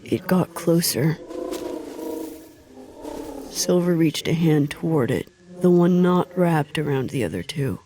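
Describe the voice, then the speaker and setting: A woman's voice: low voice, animated, slow pace, pauses often, mellow, a little hiss or hum. A woman playing a lost, haunted wanderer in an audio drama, telling and living out their own story. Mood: somber narration. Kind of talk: audio drama narration and acting.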